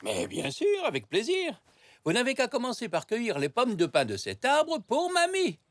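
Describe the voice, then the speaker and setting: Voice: medium pitch, moderate pace. A performer voicing a kindly old zebra grandfather who instructs and encourages in a children's cartoon. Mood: friendly. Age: older. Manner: animated, instructive.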